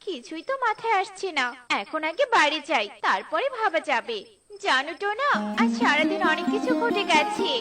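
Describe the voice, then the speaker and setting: An extremely high, chirpy little female bird from a cartoon. She is kind and eager to help, earnest with a fretful edge. Music is clearly audible behind her. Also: very animated, normal speed. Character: kind, helpful little female tailorbird, married, eager to please.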